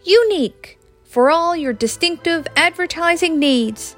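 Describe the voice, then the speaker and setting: A woman's voice: very high-pitched, animated, unhurried, a bit bright, soft background music, voice pushed up, full character voice. A woman voicing a sardonic, exasperated young woman in a story reading. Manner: wry, exasperated. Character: sardonic pregnant young woman, fed up with work.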